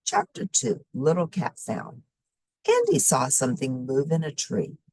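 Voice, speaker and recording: A woman reading a children's story expressively, with simple, deliberate phrasing for young listeners. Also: low voice, huge pitch swings, darkish tone, no echo. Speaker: storybook reader.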